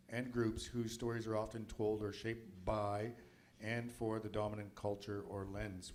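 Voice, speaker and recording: A man's voice: fairly low pitch, darkish tone, a little hiss or hum. A man from Nova Scotia moderating a film festival panel in a loose, chatty way with many fillers. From Nova Scotia, Canada.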